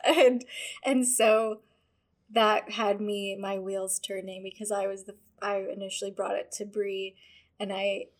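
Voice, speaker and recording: A woman co-hosting a podcast in casual chat, measured and a bit self-conscious, with a higher voice. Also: little pitch movement. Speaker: podcast co-host.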